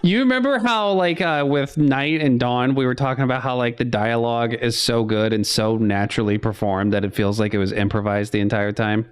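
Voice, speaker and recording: A young man co-hosting a casual movie podcast, sarcastic and lively, often dropping into goofy mock voices. His voice is smooth and clear, sitting at an ordinary middle pitch. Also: fairly quick, few pauses. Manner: animated, sarcastic, mimics characters in goofy voices. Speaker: podcast co-host. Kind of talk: casual chat, movie review.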